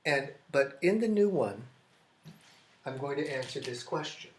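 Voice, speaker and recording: An American man teaching an English grammar lesson, explaining slowly and repeating key phrases, often restarting his sentences. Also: medium pitch, animated. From United States. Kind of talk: classroom grammar lesson at a whiteboard. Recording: echoey classroom.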